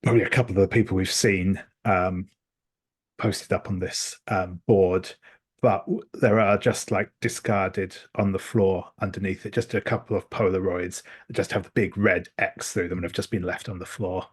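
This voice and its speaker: A man's voice: low-pitched, steady tone, moderate pace, slightly rough. A man playing a tabletop game, describing things casually and hesitantly as he thinks aloud.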